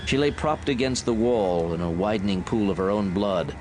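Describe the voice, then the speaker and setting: A man with a low voice narrates fiction as a scripted, dramatic audiobook. Clear ambient noise runs behind him. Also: normal speed.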